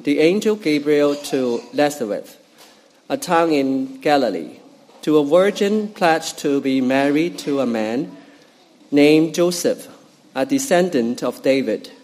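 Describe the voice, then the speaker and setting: Slow, careful Cantonese-native man reading. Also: on the high side, animated, darkish tone. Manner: halting, careful reading with fillers and restarts. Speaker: scripture reader. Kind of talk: Bible reading in church.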